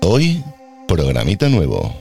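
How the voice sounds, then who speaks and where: A man's voice: sits low, wildly swooping pitch, takes it slowly, creaky, music in the background. A man with an Andalusian accent hosting a casual podcast monologue, ranting in a sarcastic, playfully theatrical way about work as a healthcare worker.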